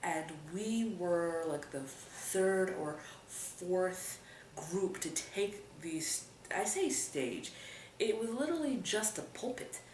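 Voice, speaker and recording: A woman's voice: lowish voice, slow pace, recorded from a distance. Woman telling a story in an echoey room. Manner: animated, laughing often, lively emphasis. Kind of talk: casual storytelling. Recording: roomy echo, voice a bit off-mic, faint room noise.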